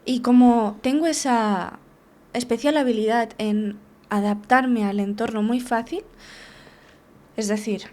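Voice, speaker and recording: A woman's voice: on the high side, steady tone, measured pace, mellow. Frank woman recounting her story. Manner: candid, frank, with many restarts and tag questions. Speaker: therapist, guest. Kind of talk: personal storytelling in an interview.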